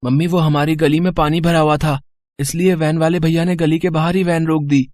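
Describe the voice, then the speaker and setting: A woman voicing a young schoolchild in a cartoon story, eager and pleading, with an excitable manner. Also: deep voice, brisk, natural voice. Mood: explaining. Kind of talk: Hindi cartoon moral story acting.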